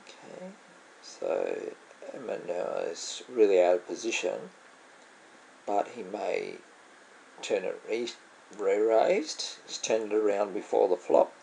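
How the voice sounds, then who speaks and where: Slow, gravelly Australian man. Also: lowish voice, sing-song, darkish tone, a little hiss or hum, rough recording. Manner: musing aloud, trailing off and correcting himself. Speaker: vlogger playing online poker. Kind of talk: casual commentary over gameplay.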